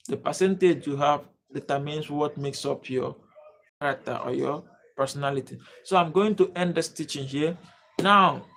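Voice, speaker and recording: A man's voice: on the high side, vocal fry. A man from Nigeria, hosting a live-streamed lesson and repeatedly pleading with viewers to comment and subscribe. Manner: repetitive, pleading appeals to viewers. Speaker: livestream host and teacher.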